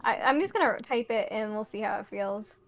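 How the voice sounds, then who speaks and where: A woman's voice: medium pitch, lively intonation, fairly quick, a little hiss or hum. A woman thinking aloud and laughing as she brainstorms lines in a casual chat.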